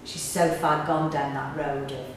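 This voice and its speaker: A woman's voice: low voice, level tone, a little slow. A middle-aged woman, chatting reflectively in an interview as an actor. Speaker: pantomime actor being interviewed. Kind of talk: casual interview chat. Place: small indoor room on a sofa. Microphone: camera a few metres away. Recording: roomy, distant mic, clear background noise.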